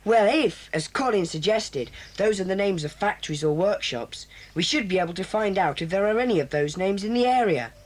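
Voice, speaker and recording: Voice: mid-range voice, expressive, a little fast, hardly any pauses, close to an everyday voice. A performer voicing a solemn, bossy boy who leads a children's club, speaking in an earnest, formal, commanding way in a 1980s children's audio drama.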